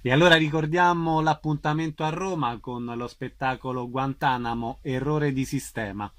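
A man's voice: medium pitch, moderate pace, no echo. Male radio host, dry close sound. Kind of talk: radio interview, announcing theatre dates.

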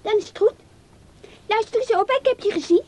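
A woman's voice: very high-pitched, brisk, darkish tone, slight background noise. A female performer in a radio play as a candid, jealous and insistent little girl.

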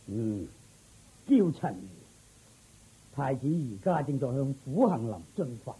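An actor playing a commanding, doting king in a radio drama, speaking with deliberate authority. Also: mid-range voice, very animated, mellow, husky, full character voice, low-quality recording. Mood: commanding, tender. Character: commanding, doting king worried for his son.

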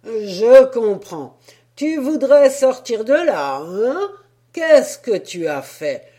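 A woman reading a story, voicing a rough, tipsy male sailor who talks loudly in salty slang. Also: on the high side, big pitch swings, very slow, voice pushed up, heavily acted. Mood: rowdy. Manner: loud, gruff, full of sailor slang. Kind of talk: storybook character voice.

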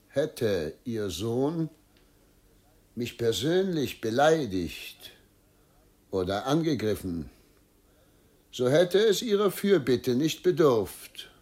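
An actor playing a principled, unbending governor in a radio drama, speaking formally and deliberately in measured phrases. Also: male voice, medium pitch, darkish tone. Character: dignified, principled, unbending male provincial governor. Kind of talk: German radio drama acting.

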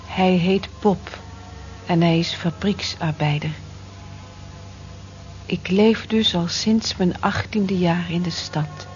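An actress in a radio play as a lonely, gentle working-class housewife in her forties, speaking in a soft, confiding, reflective way. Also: on the low side, steady tone, slow pace, pauses often, soft background music. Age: 40s. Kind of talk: naturalistic radio play acting, intimate monologue. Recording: muffled old radio drama recording.

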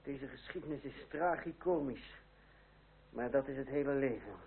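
An actor playing a proud, sharp-witted young republican gentleman in a period radio drama, with formal, sardonic, measured speech. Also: mid-range voice, gravelly, natural voice. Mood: wry, resigned. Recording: old muffled radio play, hum, narrow band.

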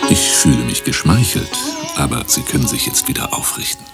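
Pompous radio-drama professor: extremely low voice, wildly swooping, grandiloquent delivery. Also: male voice, very animated, brisk, over music. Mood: amused, condescending. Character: pompous, theatrical male professor, an insistent music-obsessed therapist. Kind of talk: German radio play acting.

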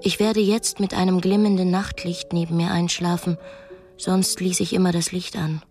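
A woman's voice: fairly low pitch, flat delivery, moderate pace, music in the background, close to an everyday voice. An actress playing a young, educated captive woman in a radio drama, anguished and defiant, speaking intimately and often breathily. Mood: brooding, despairing. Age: young adult. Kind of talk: radio drama acting, intimate diary monologue and dialogue.

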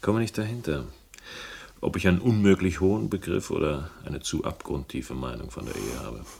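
An actor playing a world-weary, sardonic hero of a radio crime drama, speaking in a dry, laconic way. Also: male voice, sits low, expressive, moderate pace, creaky, darkish tone, breathy edge, full character voice. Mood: hesitant, reflective. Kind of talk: German radio crime drama, first-person narration and dialogue. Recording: faint noise, older radio production.

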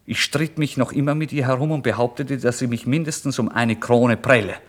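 A man's voice: medium pitch, steady tone, brisk, natural voice. An actor playing a starving, proud and agitated down-and-out man in a dramatic literary radio monologue. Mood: indignant, angry. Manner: dramatic, swinging from hushed brooding to indignant outbursts.

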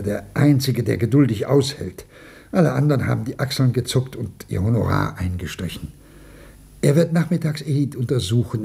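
Humble old father, creaky and mellow. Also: medium pitch. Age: older. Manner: hesitant, apologetic, earnest. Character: humble, anxious old father, devoted and pleading. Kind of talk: dramatic German radio play acting.

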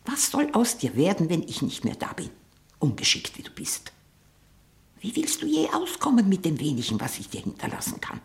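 A woman playing a domineering, scheming, sickly old aunt in a 1960s radio play, with a breathy voice that often drops into a whisper. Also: fairly low pitch, wildly swooping pitch, normal speed. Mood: scolding, impatient.

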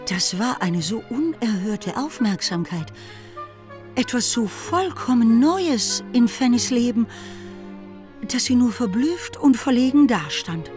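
A woman with a fairly high voice narrates a period novel for radio. She reads scripted literary prose, with faint music underneath. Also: lively intonation, slow pace, darkish tone.

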